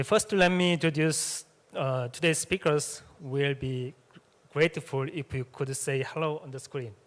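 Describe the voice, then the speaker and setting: A man moderating a symposium session, speaking English as a with many hesitations and fillers. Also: on the high side. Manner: hesitant, frequent "uh" fillers and restarts. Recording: reverberant hall sound.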